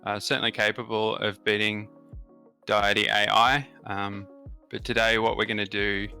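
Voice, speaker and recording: A man's voice: lowish voice, flat delivery, moderate pace, gravelly, sharp tone, clean tone, faint music behind. A man with an Australian accent hosting a strategy game session, explaining settings and bonuses in detail with frequent fillers. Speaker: gaming channel host. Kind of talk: casual gameplay commentary.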